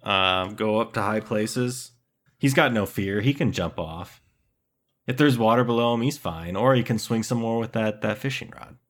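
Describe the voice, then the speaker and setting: Easygoing thirty-year-old man, low voice. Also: moderate pace, a bit bright. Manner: easygoing, joking, builds on ideas. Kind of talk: casual brainstorming chat.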